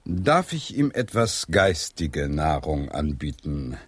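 An actor playing an excitable, squeamish English playwright abroad in a German radio play, with an agitated, exclaiming manner that can turn polite and hesitant. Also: male voice, very deep voice, takes it slowly, husky, dry recording, studio quality, full character voice. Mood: curious, polite.

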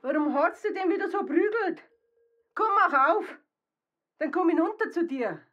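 An actress playing an urgent, loudly calling woman with a Bavarian accent in a radio drama. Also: very high voice, animated, moderate pace, dull audio. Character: shrill, forceful Bavarian woman of the household. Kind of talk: radio drama acting in dialect.

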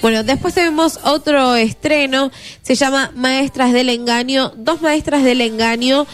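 A woman's voice: high voice, measured pace, clean tone, faint music behind. Cheerful Argentine woman radio co-host. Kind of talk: casual radio chat, reading news items.

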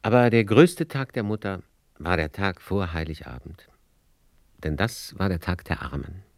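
An actor playing a reflective storyteller who narrates memories of a household in a German radio play, in a measured manner. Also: male voice, low voice, animated, vocal fry, slight background noise.

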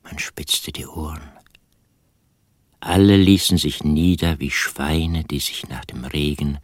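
An actor playing an embittered, obsessive poor farmer who narrates a radio play in a hushed, intimate, brooding way. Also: male voice, very deep voice, slow, dry recording, studio quality, full character voice. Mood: contented, reverent. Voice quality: husky, hushed. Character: Charlie, embittered poor rural farmer obsessed with his jar. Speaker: first-person narrator.

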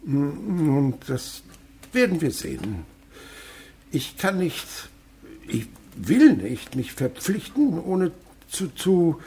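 An actor playing an older miserly, cunning winegrower in a German radio play, stammering evasively. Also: male voice, on the high side, vocal fry, warm tone, a little hiss or hum, full character voice. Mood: hesitant, evasive. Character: miserly, cunning provincial winegrower feigning hesitation.

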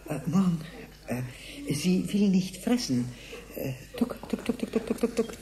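A man's voice: high-pitched, very animated, a little slow, dark tone, slight background noise, full character voice. Muddled very old man in a sci-fi radio play, doting, stammers and repeats words. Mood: doting, fretful. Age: older. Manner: stammering, repetitive, muttering. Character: confused, forgetful ancient man doting on a toy mouse. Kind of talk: science fiction radio play acting.

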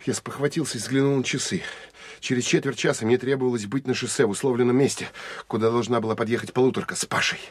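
An actor playing a terse, introspective Soviet military officer who narrates an audio drama in measured, clipped phrases. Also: male voice, mid-range voice, fairly even intonation, close to an everyday voice. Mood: measured, tense. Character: male Soviet military counterintelligence officer, terse, weary, self-critical. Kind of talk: Russian audio drama first-person narration.

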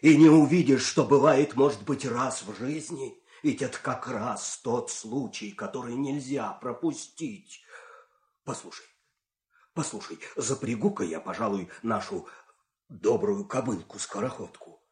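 An actor performing in an audio fairy-tale play as an expressive, theatrical storyteller and coaxing farmer husband. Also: male voice, medium pitch, unhurried, lightly acted.

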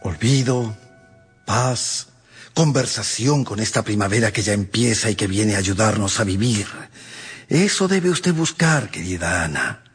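Husky, consoling loyal friend. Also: male voice, lowish voice, animated, a little slow, faint music behind, full character voice. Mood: gentle, consoling. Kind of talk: Spanish-language radio drama acting. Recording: long cavernous reverb.

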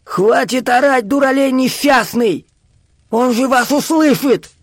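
Man acting a high-strained, snappish old man. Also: no echo, studio quality. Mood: nervous, angry. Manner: snappish, threatening, drawn-out words. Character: nervous, aggressive old male teacher holding a gun.

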